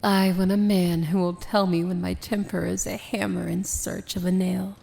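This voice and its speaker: A woman playing a proud, dangerous evil princess in an ASMR fantasy romance roleplay, speaking softly and formally with a breathy, measured, regal delivery. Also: fairly low pitch, level tone. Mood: tender, earnest.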